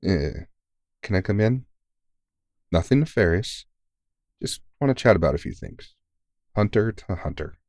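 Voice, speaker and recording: A very low-voiced veteran monster hunter, acted by a man, recorded dry and close for an ASMR roleplay. He is kindly and worn out, soothing a younger recruit with gentle teasing and casual swearing. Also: lively intonation, measured pace, long pauses, no room sound. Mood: playful, friendly. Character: kindly, weary veteran male vampire hunter mentoring a rookie. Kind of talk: intimate ASMR audio roleplay.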